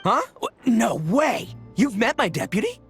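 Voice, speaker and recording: An actor playing a brash, boastful, goofy young gang boss in a video game, loud, cocky and slangy, with theatrical delivery. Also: male voice, very high voice, big pitch swings, unhurried, soft background music, exaggerated voice. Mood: blustering.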